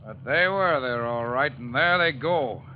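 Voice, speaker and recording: An actor playing a loyal, good-humored fire lieutenant in a 1950s radio drama. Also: male voice, medium pitch, expressive, measured pace, slight background noise, close to an everyday voice. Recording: dull old radio recording.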